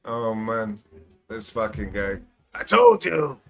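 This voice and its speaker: A man commentating a live esports match on his own stream, excitable and swearing often, with faint hip hop music in the background. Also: fairly low pitch, slow pace. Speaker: esports costreamer. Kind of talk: live costream game commentary.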